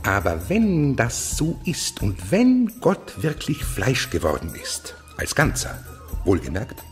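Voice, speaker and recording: Haughty zealot eunuch official, radio play, extremely animated declamation, contemptuous, faint music behind. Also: male voice, medium pitch, slow pace. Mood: fanatical, indignant. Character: fanatical, haughty male eunuch official ruling over monsters. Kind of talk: German radio play acting.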